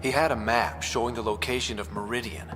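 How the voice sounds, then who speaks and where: A man's voice: mid-range voice, fairly even intonation, brisk, natural voice. An actor playing a determined, wry young man who leads explorers in a sci-fi video game. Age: young adult. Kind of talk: naturalistic video game cutscene acting. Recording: long echoey reverb, faint music behind.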